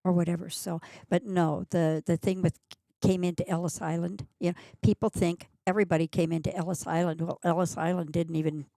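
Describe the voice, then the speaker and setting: A woman with a mellow, somewhat low voice and a gravelly fry chats on a podcast. She is matter-of-fact and sets people straight firmly. The sound is dry and close. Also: flat delivery, brisk, hardly any pauses, dry recording. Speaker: genealogy society guest. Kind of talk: casual podcast conversation.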